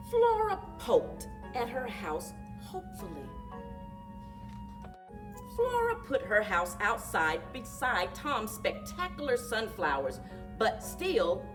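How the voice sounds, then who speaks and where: A woman reading a picture book aloud to young children as a story time librarian, expressive and deliberate, addressing them directly. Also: fairly high pitch. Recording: distant microphone, music mixed in.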